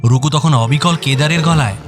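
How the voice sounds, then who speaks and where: A man's voice: on the high side, moderate pace, music in the background. A man narrating an audio story in a scripted, dramatic style.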